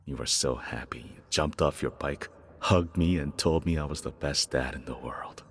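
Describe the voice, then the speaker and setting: A man's voice: moderate pace, slight background noise. An actor playing a weary, regretful father in a video game, reminiscing tenderly about his child in a reflective first-person monologue. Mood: tender, nostalgic. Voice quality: gravelly, husky, very deep.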